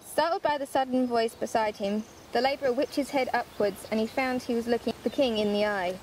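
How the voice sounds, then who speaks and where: A friendly bedtime storyteller, played by a woman, with a fairly high voice in an echoey room. She is the teasing elder relative, reading fairy tales over faint noise. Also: a little fast, darkish tone, natural voice. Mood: storytelling. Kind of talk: bedtime storytelling in amateur drama. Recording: roomy echo, faint background noise.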